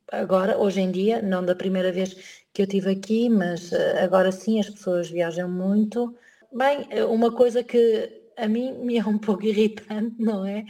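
Woman, European Portuguese accent, warm dark tone, medium pitch, hesitant conversational phrasing. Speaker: interview guest, emigrant living in Germany. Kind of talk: conversational interview answers.

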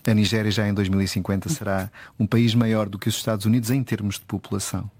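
A male interviewer who sets up his questions with measured care. His voice sits fairly low. The sound is close and dry. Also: flat delivery, darkish tone, dry recording.

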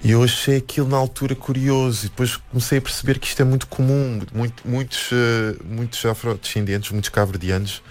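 A man's voice: mid-range voice, little pitch movement, fairly quick, hardly any pauses. Hesitant man with European Portuguese accent. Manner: hesitant, many restarts and fillers. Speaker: musician and anthropologist guest. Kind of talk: reflective interview talk.

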